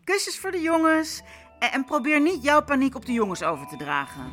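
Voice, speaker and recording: Lively, practical young woman, high and very animated, over music. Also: brisk. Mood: matter-of-fact. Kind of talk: naturalistic Dutch audio drama acting.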